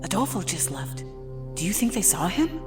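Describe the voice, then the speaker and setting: A woman playing an anxious, protective spy in a wartime audio drama, with an earnest, urgent, hushed delivery. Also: mid-range voice, big pitch swings, normal speed, natural voice. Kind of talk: dramatized audio story acting. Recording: roomy echo over background music.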